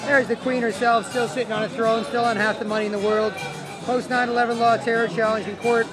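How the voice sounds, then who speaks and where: A man speaks in a high-set voice as an activist, informal and insistent as he lays out his views. Music plays behind him, with an outdoor city feel to the recording. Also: level tone, a little fast, crowd noise, low-quality recording. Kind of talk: impassioned street speech and informal interview.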